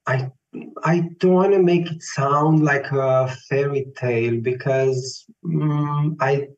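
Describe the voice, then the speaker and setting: Slow, thoughtful Romanian-accented man. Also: on the high side, fairly even intonation. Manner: slow, thoughtful, searching for words. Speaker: classical tenor, guest. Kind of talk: reflective interview answers. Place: Vienna. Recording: dull lo-fi sound, faint music.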